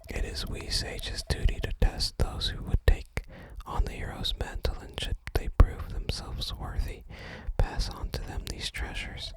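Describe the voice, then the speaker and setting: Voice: unhurried, hardly any pauses, lightly acted. A person whispering the part of a gloomy, reclusive shrine sage from a fantasy game, in solemn, formal, archaic phrasing. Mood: solemn, resigned.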